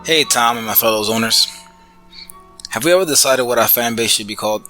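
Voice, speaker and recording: A man's voice: mid-range voice, a little fast, music in the background. A man from the Bronx sending in a prepared spoken review as a podcast listener, articulate and formally worded. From the Bronx, New York, United States.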